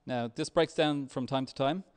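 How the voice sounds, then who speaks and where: A man giving a software talk with a live demo, speaking in a halting, hesitant way. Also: medium pitch, clear, resonant tone. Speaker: software developer presenting at a tech meetup.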